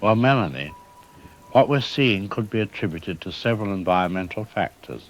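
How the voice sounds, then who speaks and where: A man playing a calm animal behavior expert, explaining things formally and reassuringly in an audio drama news interview. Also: fairly low pitch, a little hiss or hum, natural voice.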